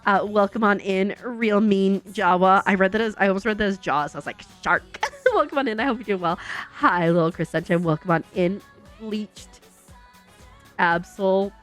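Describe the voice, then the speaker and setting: A woman's voice: medium pitch, moderate pace, a bit bright. Chatty young woman streamer, faint music. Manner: chatty, upbeat, giggly, talks to herself while building. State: slightly congested. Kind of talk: casual chat while playing a game.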